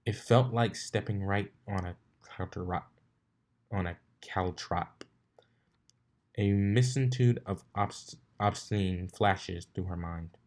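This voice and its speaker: A man's voice: low-pitched, slow pace, a little mellow. A man narrating a story expressively, sometimes stumbling over a line and reading it again. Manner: stumbles over lines and rereads them. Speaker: fan fiction reader.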